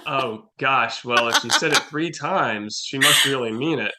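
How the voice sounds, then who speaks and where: A man chatting casually as an interview guest, halting as he thinks aloud. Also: mid-range voice, very noisy. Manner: halting, thinks aloud, acts out imagined remarks. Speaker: guest playwright.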